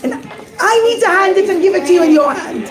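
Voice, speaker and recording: A woman's voice: very high-pitched, lively intonation, fast, reverberant, pitched above the performer's own voice. A woman voicing a clever, devout male rabbi who puts on theatrical wails. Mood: coaxing. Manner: theatrical wailing. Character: clever pious rabbi, man, feigning grief.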